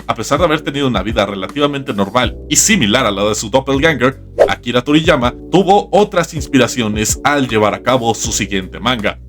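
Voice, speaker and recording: Guy narrating a funny scripted video essay, super animated pitch, bit of music behind. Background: background music.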